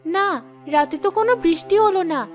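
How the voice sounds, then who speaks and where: A woman's voice: extremely high pitch, fairly quick. A woman voicing a hardworking, worried village wife and mother in a cartoon, earnest and caring. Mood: earnest, anxious. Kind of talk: Bengali fairy-tale cartoon voice acting. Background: music.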